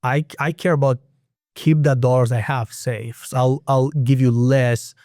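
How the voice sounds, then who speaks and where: A man's voice: mid-range voice, steady tone, unhurried, dark tone, no echo, studio quality. A man from Israel with an Israeli accent, a native Hebrew speaker, explaining lending as a podcast guest with frequent restarts. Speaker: private real estate lender, guest. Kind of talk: casual podcast interview.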